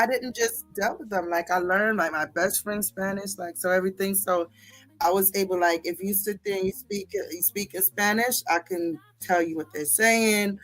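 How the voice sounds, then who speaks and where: A middle-aged woman, chatting casually and telling stories as a livestream host, sassy and slangy with drawn-out emphasis. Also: medium pitch, fairly bright tone, no echo, poor audio. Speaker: livestream host reading viewer comments.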